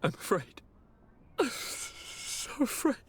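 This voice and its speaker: A man's voice: slow pace, a little hiss or hum, lightly acted. An actor playing a needy, anguished young aristocrat in a video game, theatrical and formal in his delivery. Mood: fearful, bitter. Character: sickly, anguished young male aristocrat governor, melodramatic and needy. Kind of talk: dramatic video game acting.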